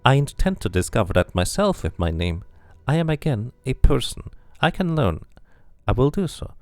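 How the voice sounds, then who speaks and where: Brief: male streamer doing a formal, earnest man from a fantasy game, a little raised, gravelly, expressive. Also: medium pitch, normal speed, no echo, faint music behind, pitched above the performer's own voice. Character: solemn man newly regaining his name. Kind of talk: amateur game voice acting.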